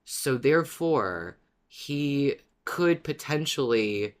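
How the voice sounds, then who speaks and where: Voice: mid-range voice, lively intonation, slow pace. A podcast co-host in a casual fan discussion, talking conversationally with the odd chuckle.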